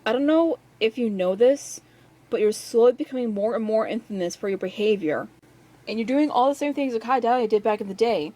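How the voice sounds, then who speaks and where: A woman's voice: a little high, animated, fairly quick, warm tone, low-quality recording. A woman giving casual rant commentary as a video maker, with a sarcastic, scolding way of talking.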